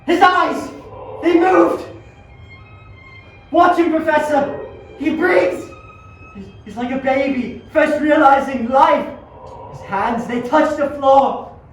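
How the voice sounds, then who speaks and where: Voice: high-pitched, slow, long pauses, faint music behind. Student acting anguished scientist in a cavernous echo. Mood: awed excitement. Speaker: amateur student performer and narrator. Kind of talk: amateur radio play acting and narration. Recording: very echoey, distant, faint noise.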